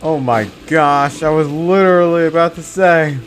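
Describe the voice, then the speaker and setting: A man giving casual, jokey commentary over a game, easily excited. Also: on the high side, moderate pace, faint music behind. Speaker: gaming content creator.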